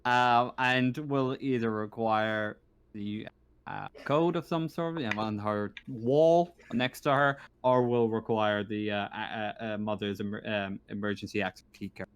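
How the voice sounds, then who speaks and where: A man's voice: lowish voice, steady tone, smooth, no room sound. Hesitant male game master, close dry sound. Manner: hesitant, halting delivery. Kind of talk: casual narration of an online tabletop game.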